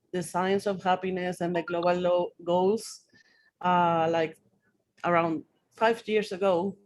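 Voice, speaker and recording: A woman's voice: on the low side, a little mellow. A Puerto Rican woman who speaks Spanish as her first language, a design teacher, rambling with frequent "like" fillers on a video call. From Puerto Rico.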